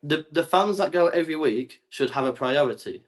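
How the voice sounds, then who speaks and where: A man's voice: fairly high pitch, expressive, a little fast. A man from England arguing his side in a heated debate, stammering and repeating his words. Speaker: guest.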